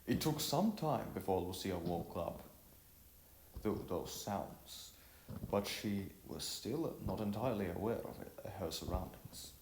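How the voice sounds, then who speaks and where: A man's voice: low voice, noisy background. A man narrating a story as an amateur reader, stumbling over phrases now and then and rereading them. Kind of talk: amateur narration of an audiovisual novel. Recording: roomy echo, faint music behind.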